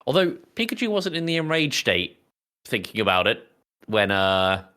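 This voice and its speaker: A man's voice: mid-range voice, sing-song, normal speed, sharp tone. A man hosting a casual film chat podcast in a jokey, storytelling manner. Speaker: podcast host.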